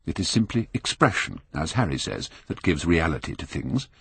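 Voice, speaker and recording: A man voicing a vain, callous young gentleman in an audiobook, British, careless and petulant in manner. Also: sits low, very animated, moderate pace, slightly rough, dry recording, exaggerated voice. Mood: bored, careless. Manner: careless, petulant, self-possessed. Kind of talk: audiobook character acting.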